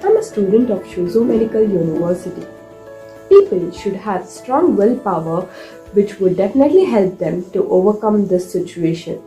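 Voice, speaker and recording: A woman student from India speaking in a lively, encouraging way. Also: mid-range voice, normal speed, faint music behind. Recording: echoey room.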